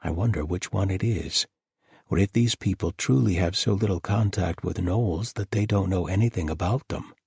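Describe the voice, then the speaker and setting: A man voicing a wary, dry-witted traveling doctor who speaks in a measured, guarded way, with a husky voice. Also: low-pitched, darkish tone, no room sound, full character voice. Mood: musing.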